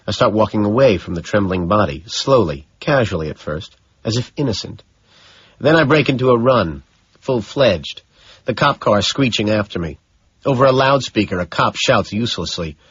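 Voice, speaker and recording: A man's voice: on the low side, husky, no room sound, lo-fi sound. A man narrating a novel as an audiobook, in a dramatic scripted reading.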